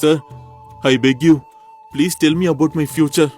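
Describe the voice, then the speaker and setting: An earnest young traveler, voiced by a man, pleading and full of questions, in a somewhat high voice. His lines sit over faint background music in a cartoon story. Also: steady tone, normal speed, a little mellow, natural voice. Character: anxious young male traveler, curious and pleading. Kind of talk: cartoon voice acting.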